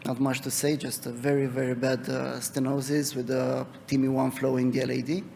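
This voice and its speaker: Young Romanian-accented man, creaky voice in a hall. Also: mid-range voice, flat delivery, a little fast, a little mellow. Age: young adult. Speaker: interventional cardiologist presenting a case. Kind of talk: medical conference case presentation. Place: conference hall. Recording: reverberant hall, noticeable background noise.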